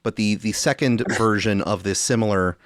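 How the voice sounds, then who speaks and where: A man's voice: lowish voice, moderate pace, no echo, studio quality. A man hosting a podcast with casual commentary, wry and roundabout in the way he talks. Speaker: podcast host.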